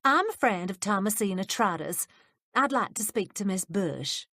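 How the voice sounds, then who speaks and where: A woman's voice: mid-range voice, animated, no room sound, studio quality. Woman playing a forthright, confident lady. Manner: brisk, confident, gentle with the old. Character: bold, forthright well-off lady.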